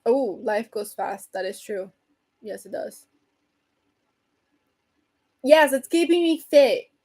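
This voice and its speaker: A woman hosting a casual livestream, rambling and answering viewer comments, her voice getting hoarse from talking so much. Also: on the high side, animated, takes it slowly, darkish tone. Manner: chatty, rambling, reads and answers comments, laughs often. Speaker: livestream host chatting with viewers.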